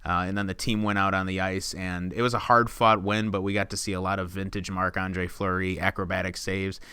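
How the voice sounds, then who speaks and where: A young man with a low, smooth, resonant voice co-hosts a casual podcast. He talks steadily and at length, with filler words here and there. The recording is dry and close, with no room sound. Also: little pitch movement, fairly quick, sharp tone, no room sound. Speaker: podcast co-host. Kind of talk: casual chat.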